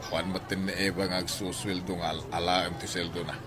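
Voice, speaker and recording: A man's voice: low voice, little pitch movement, a little fast, fairly bright tone. An actor playing a frustrated, broke male doctor in a radio drama. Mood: exasperated. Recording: busy street noise and crowd.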